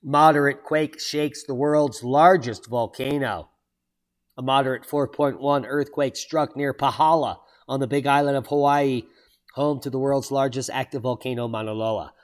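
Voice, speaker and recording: A man's voice: medium pitch, steady tone, moderate pace. A man hosting his own channel, reading weather and earthquake headlines aloud and breaking off for sardonic asides and sudden exclamations. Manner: exclaims loudly at surprising items. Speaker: channel host reading weather and geology news. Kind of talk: solo commentary reading headlines.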